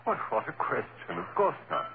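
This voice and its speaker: An actor playing a tormented, haunted man in an old-time radio horror drama, theatrical and intense, with halting repetitions, trying to reason as he unravels. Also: mid-range voice, huge pitch swings. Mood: evasive, uneasy. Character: tormented, haunted man narrating his nightmares, rational but unravelling. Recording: tinny, noisy vintage radio recording.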